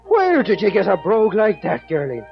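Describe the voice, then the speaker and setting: A man's voice: high voice, wildly swooping pitch, a little fast, vocal fry, exaggerated voice. An actor playing a young Irishman in love in a 1940s radio play, speaking with an Irish accent in an earnest, pleading way. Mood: amazed. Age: young adult. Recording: dull old radio recording, faint music.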